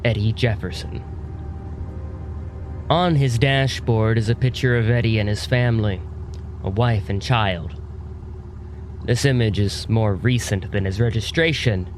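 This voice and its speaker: A man narrating a scripted audio drama in a measured, descriptive way. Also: fairly low pitch, a little hiss or hum.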